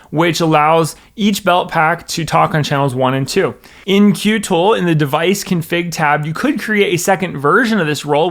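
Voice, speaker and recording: An American man narrates a scripted product walkthrough. His voice sits a little high for a man and carries a strong creak. He explains things methodically, step by step, like an instructor. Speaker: presenter, church production director.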